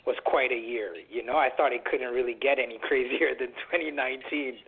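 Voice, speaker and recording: A man in his twenties from Canada, a guest on a casual radio talk, speaking earnestly with plenty of pauses and fillers. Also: medium pitch, fairly even intonation, vocal fry, breathy edge. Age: 20s. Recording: tinny phone line.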